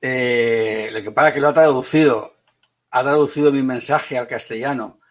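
A man from Spain with a Spanish accent teaching an online class, brisk and teasing, calling on students by name. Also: mid-range voice.

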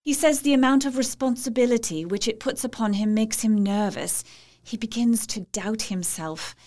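A woman's voice: a little high, moderate pace, a little mellow, lightly acted. An actress playing a devoted, troubled young Victorian wife, reading out her letter in a tender, formal, confiding manner. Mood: sorrowful. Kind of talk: dramatized letter reading in gothic audio drama. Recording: faint music behind. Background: music.

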